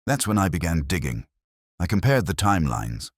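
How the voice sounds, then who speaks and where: Guy doing an uneasy smooth gentleman suspect in a storybook, very low husky voice, guarded, pitch moves around. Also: big pitch swings, normal speed, no echo, studio quality. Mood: defensive, worried. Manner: polite, guarded, defensive. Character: evasive, smooth male professional under suspicion, uneasy. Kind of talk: audiobook detective story dialogue.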